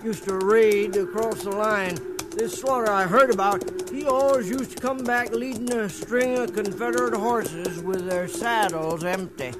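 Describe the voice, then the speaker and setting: An actor playing a nosy, wry old trail-hand sidekick with folksy American speech in a western radio drama. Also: male voice, high-pitched, a little slow. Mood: curious, wry. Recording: noisy old radio recording.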